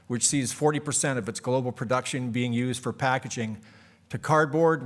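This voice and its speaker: A man moderating a conference, introducing the next speaker from notes and chatting with the audience. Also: mid-range voice. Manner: reads from notes, then jokes with the audience. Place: conference hall.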